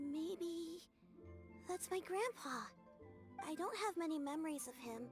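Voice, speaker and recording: A woman voicing a curious young girl who talks in a hesitant, earnest way. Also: very high voice. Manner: hesitant, earnest, a little shy.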